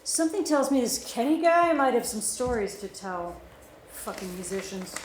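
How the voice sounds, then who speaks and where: A woman's voice: a little high, very animated, moderate pace. Lady doing a jaded middle-aged cop at a table read, matter of fact, echoey room w some noise. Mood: dry, grim. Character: jaded, world-weary female police detective, middle-aged mother. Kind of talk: live screenplay table read.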